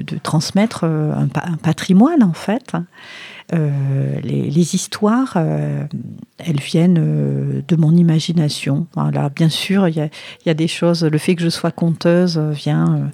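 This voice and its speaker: A woman with a southwestern French accent answering interview questions conversationally, often pausing to find her words. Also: deep voice, gravelly, mellow, dry recording. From southwest France. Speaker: storyteller and writer, interview guest.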